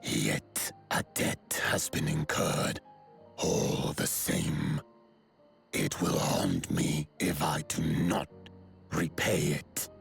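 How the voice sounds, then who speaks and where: An actor playing a solemn, towering giant elder in a video game, with a low gravelly voice and slow, formal speech. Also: male voice, steady tone, soft background music, exaggerated voice.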